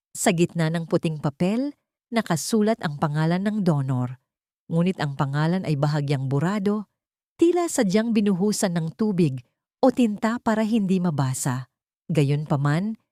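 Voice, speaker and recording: Low-pitched woman narrating a dramatic story. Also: dark tone, no room sound, studio quality. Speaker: audiobook narrator.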